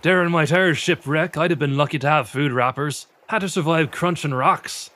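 A man's voice: a little high, fairly quick, background noise, close to an everyday voice. A man voicing a hapless sailor who shrugs off grim memories of a shipwreck. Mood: offhand. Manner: offhand, matter-of-fact about hardship.

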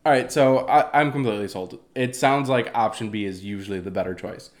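A man's voice: fairly low pitch, a little fast. Curious man asking questions. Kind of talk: podcast conversation.

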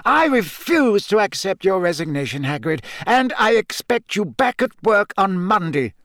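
A man performs an elderly wizard headmaster from a storybook. The voice sits high and swoops gently through measured, formal phrases. He is calm and authoritative, with a dry, whimsical wit. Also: no room sound. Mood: firm. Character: wise old male wizard headmaster, wry, calm and authoritative.

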